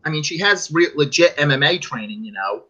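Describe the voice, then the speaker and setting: A man's voice: on the high side, expressive, brisk, bright, forceful tone, husky. A man co-hosting a casual wrestling podcast, rambling and argumentative as he makes his case. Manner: rambling, argumentative, mimics fans in quotes. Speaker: podcast co-host. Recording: dull lo-fi audio.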